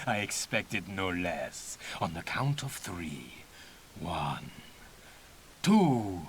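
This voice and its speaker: An actor playing a cocky older adventurer who mentors a young pupil in a video game, with a theatrical, goading, instructive delivery. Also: male voice, low-pitched, big pitch swings, very slow, a bit bright, a little hiss or hum, exaggerated voice. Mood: challenging. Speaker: mentor guiding a pupil through training.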